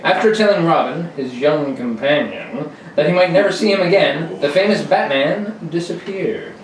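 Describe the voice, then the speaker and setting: A male announcer delivers dramatic serial narration in a slightly high register. He sounds distant in a very reverberant room, with faint noise. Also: lively intonation, normal speed, a crowd in the background.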